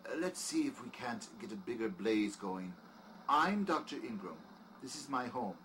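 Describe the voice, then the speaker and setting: Man playing a genteel, animated mansion doctor. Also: mid-range voice, normal speed. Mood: courteous, welcoming. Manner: formal, courteous, old-fashioned phrasing. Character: genteel, hospitable, faintly sinister mansion doctor. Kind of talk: audio drama acting. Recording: faint background noise.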